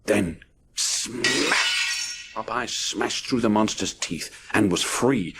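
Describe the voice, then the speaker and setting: A man's voice: on the low side, expressive, slow pace, vocal fry, bright, forceful tone, breathy edge. A man narrating a children's adventure story dramatically, punching out the action words. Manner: punchy, theatrical sound-effect words.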